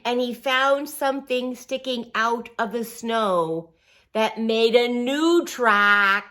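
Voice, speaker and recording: Slow-paced woman reading to little children. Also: on the high side, pushed and bright. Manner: slow, simple, encouraging phrasing as if talking to little children. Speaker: storyteller reading a picture book to young children. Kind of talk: expressive read-aloud with asides and questions to young children.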